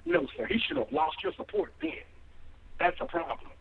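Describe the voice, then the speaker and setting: A young man calls in on speakerphone, indignant and rambling. His voice is gravelly and swings widely in pitch. The line is thin and phone-like, with an echo and faint hiss. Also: big pitch swings, normal speed. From Mississippi. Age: young adult. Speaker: caller. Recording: muffled echoey phone line.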